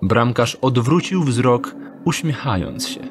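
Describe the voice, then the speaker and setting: A man narrating an audio drama in a measured, deliberate storytelling manner. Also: medium pitch, lively intonation, background noise.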